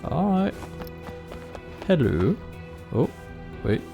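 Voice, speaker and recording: Guy streaming a game, wry muttered comments, gravelly voice, bit of music behind. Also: on the high side, very animated, very slow, dull audio. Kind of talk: casual game commentary and reading aloud.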